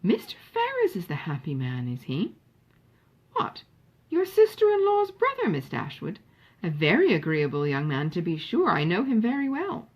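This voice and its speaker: A woman's voice: lowish voice, wildly swooping pitch, moderate pace, breathy edge, exaggerated voice. A woman playing a vulgar, chattering young Englishwoman from an audiobook novel, gushing and overfamiliar. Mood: prying. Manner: gushing, familiar, inquisitive.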